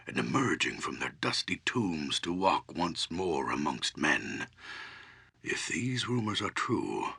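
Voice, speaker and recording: An aged, grave druid guardian of nature from a fantasy video game. The voice is low and rough with gravel, yet forceful and intense. He speaks with deliberate, portentous solemnity, like a storyteller of old lore. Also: exaggerated voice. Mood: urgent, earnest. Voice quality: gravelly, husky.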